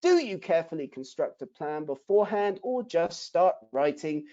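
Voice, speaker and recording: A man presents a writing webinar with an animated, rising and falling delivery. His voice is soft and mellow rather than bright, and he leans into emphatic rhetorical questions. The recording is dull, like lo-fi online-seminar audio. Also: a little high, normal speed. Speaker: academic writing instructor. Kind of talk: webinar lesson.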